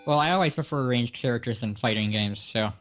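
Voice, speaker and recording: A man's voice: mid-range voice, fairly quick, fairly bright tone, soft background music. A young man co-hosting a casual video game chat, cracking sarcastic jokes. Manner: joking, sarcastic quips. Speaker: co-host.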